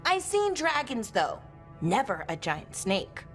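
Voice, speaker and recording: An actress voicing a curious, questioning companion in a fantasy video game. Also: female voice, a little high, sing-song, slow, fairly bright tone, exaggerated voice. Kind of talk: video game cutscene dialogue. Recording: game music behind the voice.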